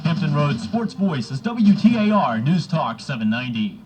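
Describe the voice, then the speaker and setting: A man's voice: fairly high pitch, big pitch swings, moderate pace. A man working as a radio reporter, reading scripted news and sports in an old lo-fi radio recording. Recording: dull lo-fi old radio aircheck.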